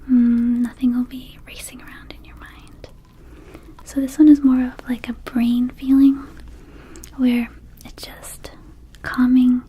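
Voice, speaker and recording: A woman voicing a gentle vampire dispensary keeper in a whispered ASMR roleplay, soothing and unhurried, with childlike wording. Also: fairly high pitch. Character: gentle female vampire dispensary keeper offering magic herbs.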